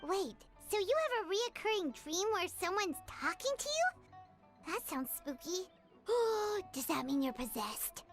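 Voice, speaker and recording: A woman voicing a tiny, chirpy, excitable childlike fairy companion with a squeaky voice in a video game. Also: unhurried, faint music behind, full character voice. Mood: curious, startled. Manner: refers to self in third person. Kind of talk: English video game dub acting.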